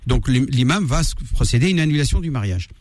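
A man's voice: medium pitch, lively intonation, quick pace, a little mellow, dry recording. A man, an imam answering callers on a radio call-in show, firm and repetitive, sprinkling in Arabic words.

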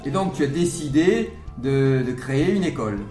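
A man's voice: medium pitch, animated, moderate pace, warm tone. Male radio interviewer over faint music.

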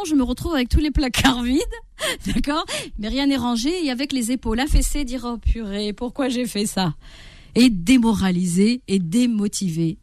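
Animated woman advising parents, dry sound. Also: sits high, no room sound. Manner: animated, acts out inner voices. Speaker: parenting coach guest. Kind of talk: radio interview advice.

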